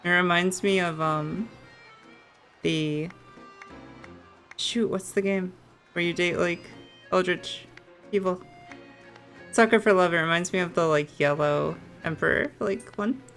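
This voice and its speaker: A woman's voice: on the low side, a little slow, pauses often, a bit bright. A woman streamer giving casual, giggly, exclamatory reactions while playing a video game. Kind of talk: casual gameplay commentary and reactions. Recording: faint game music behind.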